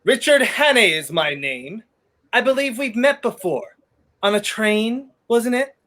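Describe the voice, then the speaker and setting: Man acting debonair wrongly accused gent, wry, theatrical, clipped, animated intonation, 1930s radio play. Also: high voice, a little slow, full character voice. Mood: breezy, teasing. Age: young adult.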